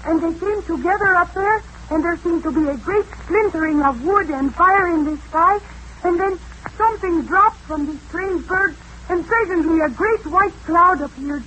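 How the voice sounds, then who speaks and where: A woman's voice: very high-pitched, normal speed, sharp tone. An actress playing a headstrong, impassioned young jungle girl who speaks in formal, dramatic phrases in a radio serial. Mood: awed. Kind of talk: radio adventure serial acting. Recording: noisy old radio drama recording.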